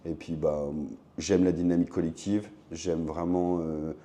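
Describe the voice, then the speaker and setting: A man's voice: deep voice, flat delivery, a little slow, mellow. A man from Le Mans in France, an optician and shop owner, talking casually as an interview guest and explaining himself conversationally.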